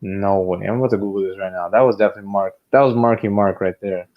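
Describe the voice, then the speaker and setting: A man's voice: fairly low pitch, steady tone, fast, lo-fi sound. A man in casual conversation as a podcast co-host, talking in a joking, emphatic way. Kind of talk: casual chat.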